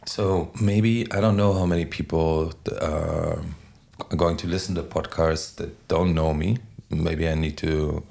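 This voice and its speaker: A man in his forties with a German accent, giving halting, reflective answers as an interview guest, often searching for words. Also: extremely low pitch, fairly even intonation, gravelly, a little mellow. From Berlin, Germany. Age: 40s. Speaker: podcast guest, DJ.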